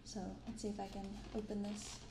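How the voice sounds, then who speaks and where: A woman in her twenties speaking softly and gently in a casual, unhurried ASMR style as she hosts an unboxing. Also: mid-range voice, monotone. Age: 20s. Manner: soft-spoken, gentle, unhurried. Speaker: host of a card-opening ASMR channel. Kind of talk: soft-spoken ASMR, casual unboxing chat. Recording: roomy binaural recording with clear background noise.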